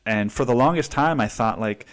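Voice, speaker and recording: A young man from Cancun rants through a solo podcast episode. He swears freely and drops "dude" constantly. He jumps up into a higher voice whenever he mimics other people. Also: normal speed. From Cancun, Mexico. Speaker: podcast host. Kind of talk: casual solo podcast rant.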